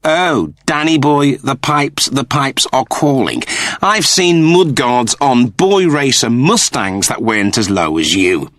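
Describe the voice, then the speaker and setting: A man's voice: medium pitch, expressive, slow pace, fairly bright tone, no echo, clean studio sound, pitched above the performer's own voice. A man voicing an irritable, cowardly, sarcastic man in an audiobook story, snippy and impatient. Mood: grudging.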